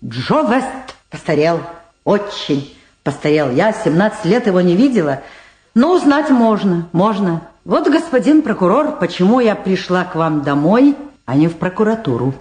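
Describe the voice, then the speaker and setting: A woman's voice: fairly low pitch, sing-song, normal speed, darkish tone, reverberant. An actress playing a nervous, talkative middle-aged woman witness in a radio play, hesitant, rambling and evasive. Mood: confiding. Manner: hesitant, rambling, stammering under pressure. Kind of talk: radio play dramatic acting.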